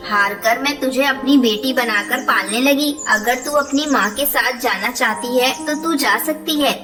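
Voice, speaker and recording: A woman's voice: sits high, close to an everyday voice. A woman voicing a loving, earnest mother bird in a children's cartoon. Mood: sorrowful. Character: gentle ailing mother bird, loving, gently scolding. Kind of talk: children's cartoon story dialogue. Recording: distant voice under clear music.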